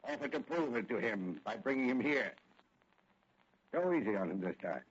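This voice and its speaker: A husky, menacing gang chief in a vintage radio crime drama. He commands with theatrical mock politeness and cold sarcasm. The old recording is muffled. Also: mid-range voice, very animated, normal speed. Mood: threatening, commanding. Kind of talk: 1940s radio crime serial acting.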